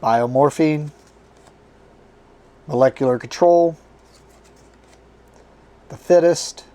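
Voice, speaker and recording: A man hosting a casual card game unboxing, his voice strained and tiring as he reads card names aloud in short halting bursts. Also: on the high side, warm tone. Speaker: board game channel host.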